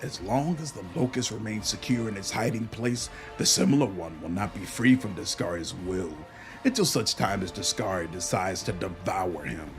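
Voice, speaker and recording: An actor playing an ancient, arrogant locust demon lord in a video game, rasping and speaking slowly and grandly. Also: male voice, fairly low pitch, music in the background, close to an everyday voice. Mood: ominous, lecturing. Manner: slow, grandiose, speaks of himself in third person. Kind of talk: video game villain acting.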